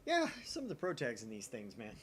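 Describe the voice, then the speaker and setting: A man co-hosting a visual novel playthrough, switching between casual chat and animated narration of the game text. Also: mid-range voice, a little fast, a little hiss or hum.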